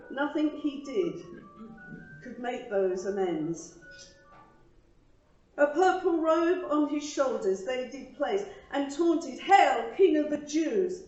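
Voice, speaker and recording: A woman reading her own rhymed devotional poem to a church congregation, with earnest, emphatic phrasing and soft falling line endings. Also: high voice. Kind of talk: rhymed devotional poetry recital. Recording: roomy, distant, slightly dull microphone pickup.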